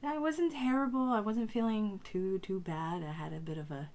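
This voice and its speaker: A woman recovering from a cold with a strained voice, speaking haltingly as a tarot reader talking casually to her viewers. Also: mid-range voice, steady tone, darkish tone. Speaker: tarot reader and channel host. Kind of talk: casual spiritual talk to camera.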